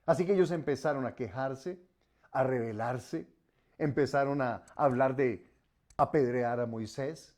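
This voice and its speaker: A man from Colombia, preaching a sermon as pastor, rising on commands and dropping low and soft on solemn warnings. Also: measured pace.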